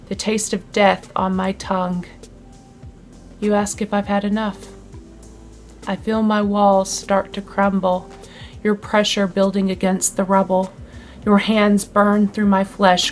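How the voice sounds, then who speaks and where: A woman giving a dramatic poetry reading, deliberate, with pauses between short phrases. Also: medium pitch. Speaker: poetry reader.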